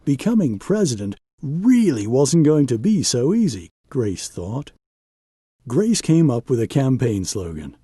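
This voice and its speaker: A man reading a children's picture book aloud as an expressive storyteller, with measured, dramatic phrasing and pauses for emphasis. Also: medium pitch, slightly rough, dry recording, studio quality.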